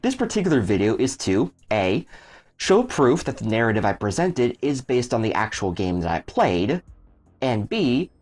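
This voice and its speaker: A man's voice: mid-range voice, a little mellow. A man reading a scripted explanation as a video creator, in an explanatory, step-by-step way. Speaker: video creator explaining his storytelling method. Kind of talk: scripted narration.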